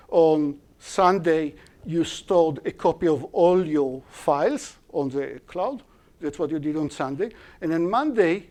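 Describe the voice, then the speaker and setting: A male university lecturer, a Hebrew speaker working through an algorithm in English. His voice sits a little high yet sounds mellow and soft-edged. He explains step by step, pausing to restart and correct himself. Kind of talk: live-streamed algorithms lecture.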